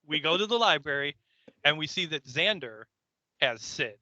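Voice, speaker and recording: A man's voice: a little high, animated, moderate pace, pushed and bright, no echo, lo-fi sound. A man co-hosting a podcast, casually recapping a story in a joking, sweary, rambling way. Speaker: podcast co-host. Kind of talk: casual recap of a TV episode, chatty banter.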